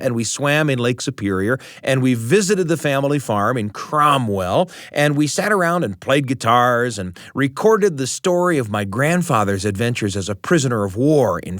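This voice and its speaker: This is a middle-aged American man telling a personal story as a radio host. His intonation is lively and swings widely, with deliberate pauses and repeated phrases. The sound is dry and close. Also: medium pitch, no echo. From United States.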